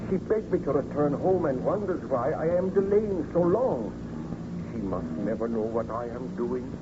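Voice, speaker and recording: An actor playing a tormented, guilt-ridden scientist in an old-time radio drama, melodramatic and formal. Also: male voice, mid-range voice, animated, moderate pace, natural voice. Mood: anguished. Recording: muffled, noisy old radio recording.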